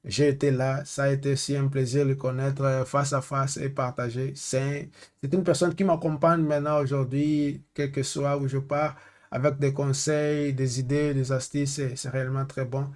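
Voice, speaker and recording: Angolan man, native Portuguese speaker, mid-range voice, halting and searching for words as he walks through a tech demo. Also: mid-range voice, flat delivery, a little mellow. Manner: hesitant, searches for French words, many fillers. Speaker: data consultant. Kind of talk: screen-share tech tutorial.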